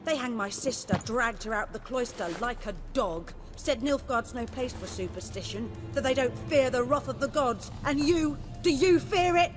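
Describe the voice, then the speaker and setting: A woman's voice: a little high, animated, moderate pace, hardly any pauses, lightly acted. A woman playing a bitter, grieving villager in a fantasy game, coarse and accusing. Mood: grieving fury. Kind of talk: video game dramatic acting. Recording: music behind.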